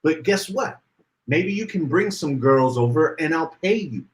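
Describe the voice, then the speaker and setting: A man co-hosting a casual podcast livestream, reading out viewer comments and getting animated as he talks. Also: unhurried. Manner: animated, rises in pitch when worked up. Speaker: podcast co-host.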